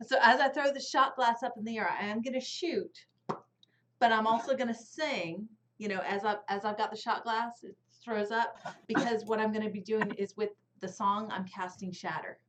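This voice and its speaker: A woman plays in a tabletop game, talking eagerly and laying out her plans in detail. Her voice sits fairly high, with a faint hiss behind it. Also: steady tone, normal speed, fairly bright tone. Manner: eager, talkative. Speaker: player. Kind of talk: casual tabletop play. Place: online live stream.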